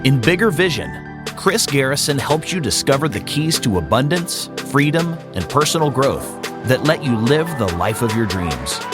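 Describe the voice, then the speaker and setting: A man's voice: mid-range voice, very animated, moderate pace. A man reading a scripted show intro in a polished announcer manner. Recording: intro over loud music.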